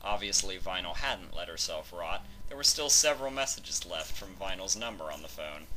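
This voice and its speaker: A man's voice: low-pitched, expressive, moderate pace, pushed and bright, a little hiss or hum, poor audio. A man reading scripted fan fiction narration as the storyteller.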